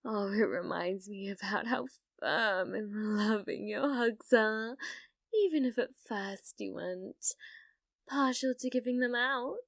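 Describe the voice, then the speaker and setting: Woman acting a slow, sugary, possessive yandere girlfriend. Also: medium pitch, gravelly, dry recording, studio quality. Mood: adoring, obsessive. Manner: sugary, coaxing, with veiled menace. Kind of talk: ASMR roleplay, addressing the listener as a date.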